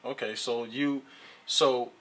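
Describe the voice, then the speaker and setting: A man's voice: mid-range voice, fairly even intonation, takes it slowly. A man hosting a casual talk show, teasing his guest and asking frank questions. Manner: playful, teasing, jumps to loud impressions of friends.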